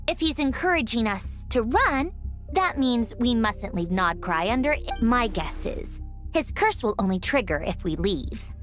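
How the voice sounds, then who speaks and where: Brash, animated, high-voiced young woman. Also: a little slow, soft background music. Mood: reasoning. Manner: animated, blunt, sardonic. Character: sharp, brash, analytical young woman in fantasy game. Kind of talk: video game voice acting.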